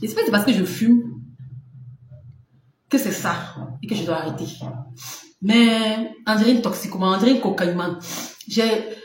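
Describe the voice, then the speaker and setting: A woman selling skin products on a live stream, chatting casually, laughing often and answering viewers' comments, with a runny nose. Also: on the high side. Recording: very echoey room with faint noise.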